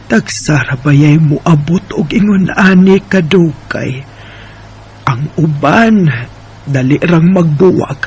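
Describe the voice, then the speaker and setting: A man voicing a frail, dying elderly wife in a Bisaya radio drama, with a Filipino Bisaya accent and a weak, pleading, drawn-out delivery. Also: a little high, darkish tone, husky, heavily acted. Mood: tender, pleading.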